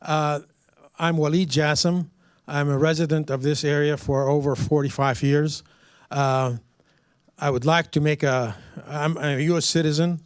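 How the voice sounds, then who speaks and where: Older Iraqi-accented man, fairly high voice. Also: fairly even intonation, smooth, no room sound, clean studio sound. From Iraq. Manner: halting, repeating words, indignant. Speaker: resident commenting at a council meeting. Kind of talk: impromptu public comment speech.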